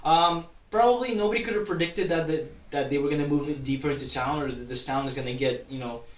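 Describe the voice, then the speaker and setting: A young man from Kazakhstan with a slightly higher voice chats loosely through viewer questions, stumbling and restarting often. He sounds set back from the mic in an echoey room. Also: fast. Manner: rambling, many restarts and fillers. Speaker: YouTuber. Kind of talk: casual Q&A vlog. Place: at his grandmother's town in Kazakhstan. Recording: roomy echo, distant from mic.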